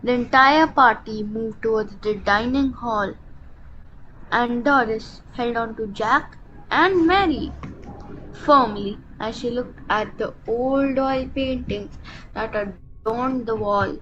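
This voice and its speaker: A woman's voice: on the high side, slow, a bit bright. A woman narrating a radio play in a scripted storytelling style. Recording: dull lo-fi, faint noise.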